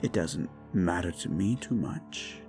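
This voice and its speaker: Casting: male actor as a paranoid, possessive prince, low and unhurried, whispery menace. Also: animated. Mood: menacing. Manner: soft, slow, often whispering, menacing. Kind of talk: audio roleplay drama. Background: background music.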